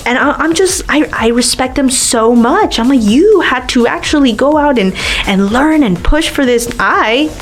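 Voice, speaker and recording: Young woman, guest in a casual chat, bubbly and animated, a bit high-pitched. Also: normal speed, slight background noise. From Miami. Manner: animated, laughs often, acts out little voices. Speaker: guest, video creator.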